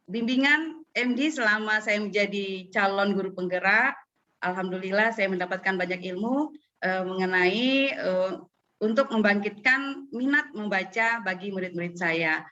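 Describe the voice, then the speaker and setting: A woman teacher informally presenting her own experience in an online call, halting often as she goes. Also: mid-range voice, pushed and bright. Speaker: primary school teacher, guest speaker. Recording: noisy muffled online call audio.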